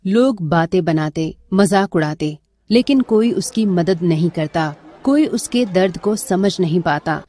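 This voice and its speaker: A woman's voice: on the low side, lively intonation, unhurried, mellow, slight background noise. A woman narrating a scripted dramatic story as a storyteller.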